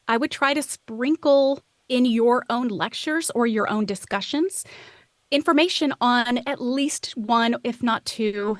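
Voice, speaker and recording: A woman's voice: fairly high pitch, dry recording. A woman in her forties giving articulate, enthusiastic answers in a conversational, explanatory style as an expert guest. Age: 40s. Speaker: art history podcaster and expert guest.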